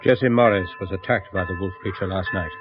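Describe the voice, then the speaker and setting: An actor playing a grim, fatalistic companion in a 1970s British radio horror drama, speaking in a grave, insistent manner. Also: male voice, low voice, fast, close to an everyday voice. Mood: grave, firm. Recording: dull lo-fi radio recording, music behind.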